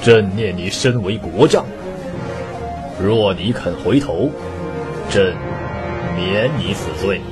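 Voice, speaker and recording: A man's voice: sits low, slow, long pauses. A man voicing a stern, weary middle-aged emperor with an imperious manner in a Chinese costume radio drama. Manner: solemn, imperious. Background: background music.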